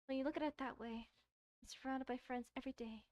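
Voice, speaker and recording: Woman voicing a shy, bookish high-voiced schoolgirl. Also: steady tone, darkish tone, no echo, muffled recording, close to an everyday voice. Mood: wistful, shy. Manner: hesitant, halting, earnest. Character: shy, bookish, self-doubting schoolgirl in visual novel.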